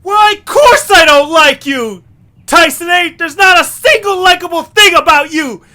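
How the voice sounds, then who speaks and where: A man's voice: very high-pitched, unhurried, bright, forceful tone, voice pushed up, heavily acted. A man voicing an indignant, earnest young male creature in an amateur audio drama, with strident, exasperated delivery. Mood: furious.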